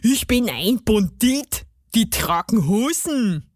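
A woman voicing a grumpy, cheeky male garden gnome in a storybook, scolding in gruff colloquial speech. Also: fairly high pitch, very animated, slow pace, no echo, studio quality, full character voice. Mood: indignant.

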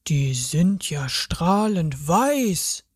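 A woman voicing a big, clumsy but sensitive male troll, slow and bewildered. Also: deep voice, big pitch swings, a little mellow, breathy edge, dry recording, studio quality, voice pushed down, exaggerated voice.

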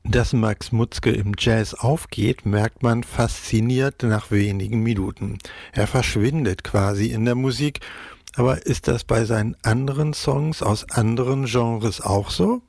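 Reporter mit trockener, rauer Stimme. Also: male voice, medium pitch, creaky, a little mellow, no room sound, studio quality. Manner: measured, deliberate. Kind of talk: scripted report voice-over.

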